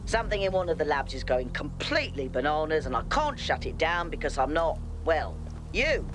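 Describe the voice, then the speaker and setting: A man's voice: a little high, big pitch swings, a little fast, music in the background. An actor playing a quirky, analytical male researcher in a video game, explaining things in a wry way. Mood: wry puzzlement.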